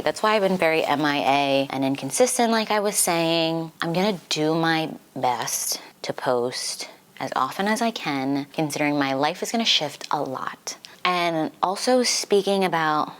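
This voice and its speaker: A young woman chatting informally and giggly in a casual vlog, saying "like" often, her voice slightly congested from getting over the flu. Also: low-pitched. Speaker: book vlogger.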